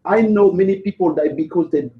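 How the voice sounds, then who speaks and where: A man from Haiti, talking in a halting way with many fillers and restarts. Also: high voice. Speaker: panel participant. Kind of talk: hesitant conversational English. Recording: roomy, slightly dull audio.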